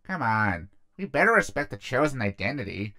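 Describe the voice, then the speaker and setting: A man voicing a kindly, cheerful, plump demon god of disease in a comic dub, gentle and earnest. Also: medium pitch, sing-song, normal speed, smooth, no room sound.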